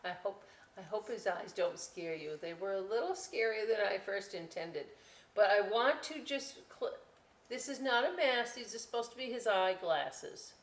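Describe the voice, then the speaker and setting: A woman hosting a children's storytime and craft session, chatty and expressive, speaking directly to young listeners. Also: medium pitch, normal speed. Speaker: library storytime host. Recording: echoey room.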